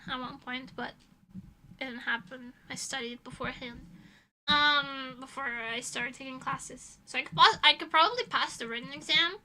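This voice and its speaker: A woman with a high, strikingly bright and sharp voice talks casually on a livestream. She rambles through her thoughts bluntly, swearing freely as she answers chat. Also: low-quality recording. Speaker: livestreamer, illustrator.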